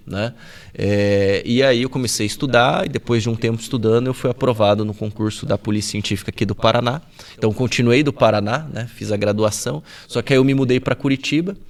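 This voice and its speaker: A man in his forties from São Paulo state, a guest talking through his career in a relaxed podcast interview, with frequent tag questions. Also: mid-range voice. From São Paulo state, Brazil. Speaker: guest, forensic biomedical expert.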